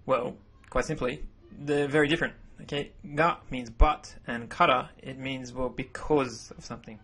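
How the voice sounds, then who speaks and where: A man with a slightly husky, mid-pitched voice talks through a slideshow lesson. His first language is English, and he explains in an easygoing way, often correcting and repeating himself. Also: normal speed. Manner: relaxed, self-correcting explanations. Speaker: language teacher. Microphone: voice-over.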